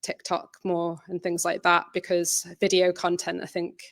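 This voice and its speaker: A woman with a lowish voice answers questions about her creative work in a conversational way. Her delivery stays very level and a little hesitant, qualifying thoughts as she goes. The sound is dry and close, with little room. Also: monotone, moderate pace, gravelly, no echo. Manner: hesitant, self-reflective, trailing qualifications. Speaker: audio drama writer and presenter. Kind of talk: informal presentation Q&A, conversational answers.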